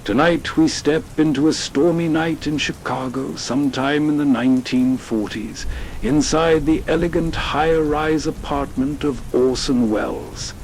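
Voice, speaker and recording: Slow, measured older man hosting. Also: on the high side, gravelly, darkish tone, slight background noise, rough recording. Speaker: host. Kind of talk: scripted introduction.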